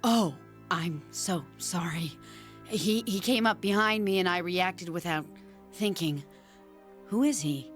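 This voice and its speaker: A woman playing an eager, apologetic assassin heroine who talks haltingly in a comedic game scene. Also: fairly low pitch, soft background music. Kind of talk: comedic game cutscene acting.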